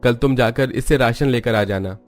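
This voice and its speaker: A man's voice: mid-range voice, moderate pace, faint music behind, lightly acted. A man voicing a weary, hardworking poor labourer devoted to his family in a cartoon drama. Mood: earnest, reassuring.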